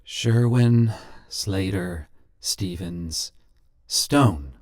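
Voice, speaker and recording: A man voicing a suave, sinister stranger who collects souls, talking smoothly and businesslike, a little pious and simpering. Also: fairly low pitch, big pitch swings, unhurried, a little mellow. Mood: smooth menacing.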